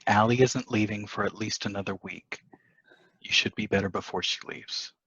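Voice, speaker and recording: An actor playing a scheming, defensive husband in a dramatic stage play reading, dismissive and insistent, on a muffled microphone. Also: male voice, lowish voice, steady tone, normal speed, fairly bright tone, breathy edge, dry recording. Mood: falsely soothing. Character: scheming, defensive husband poisoning his wife.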